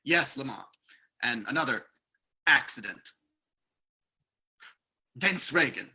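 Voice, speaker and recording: Male actor as earnest security agent, radio play: very forceful, animated, practical. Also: mid-range voice, slow, slightly rough, exaggerated voice. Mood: earnest, grim. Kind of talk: old-time radio drama acting.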